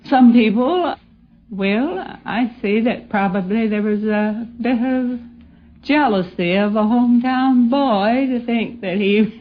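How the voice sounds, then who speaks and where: An older woman reminiscing in an interview on a 1950s radio broadcast. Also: mid-range voice. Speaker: interviewee, hometown acquaintance. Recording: dull 1950s radio recording.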